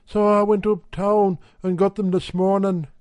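A man's voice: sits high, flat delivery, measured pace, darkish tone, no echo, pitched above the performer's own voice. A man voicing a plump, sly working-class wife with an insidious, cat-like manner. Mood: coaxing.

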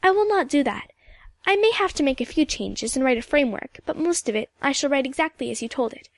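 Woman voicing an eager, high-voiced earnest girl. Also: animated, no echo, clean studio sound. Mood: earnest, hurried. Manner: quick, earnest, articulate. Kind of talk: audiobook character dialogue.

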